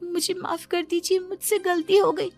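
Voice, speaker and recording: A woman's voice: extremely high pitch, little pitch movement, normal speed, a little mellow, no echo, soft background music, pitched above the performer's own voice. A woman voicing a meek, affectionate young daughter-in-law in a moral story cartoon, pleading and deferential. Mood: apologetic.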